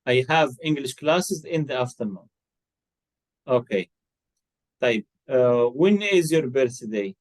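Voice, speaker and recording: A man's voice: medium pitch, lively intonation, takes it slowly, pauses often, low-quality recording. Patient man teaching English. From Egypt. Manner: patient, coaxing, repeats and corrects answers. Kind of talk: one-to-one online English lesson.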